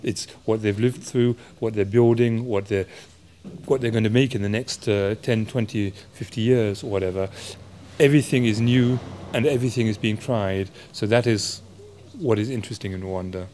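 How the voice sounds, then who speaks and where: Low-voiced man explaining earnestly. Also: fairly even intonation, moderate pace, mellow, a little hiss or hum. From parents from South Africa. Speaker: interviewee, supporter of Rwanda. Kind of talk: reflective interview talk in English.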